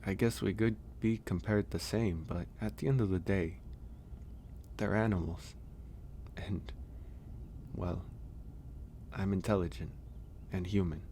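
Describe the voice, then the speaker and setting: Werewolf caretaker, male actor: very low register, kind and unhurried with hesitant pauses, intimate ASMR roleplay over ambient noise. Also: steady tone. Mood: hesitant, explanatory. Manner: gentle, hesitant, reassuring, pausing often. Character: gentle male werewolf, caring stranger nursing a guest.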